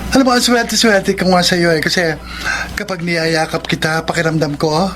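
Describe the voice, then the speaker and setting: A man's voice: sits high, fairly even intonation, moderate pace, a bit bright, slight background noise. Sassy, campy young Filipino man. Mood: affectionate. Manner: campy, flamboyant, mock-disgusted. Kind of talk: Tagalog romantic radio drama acting.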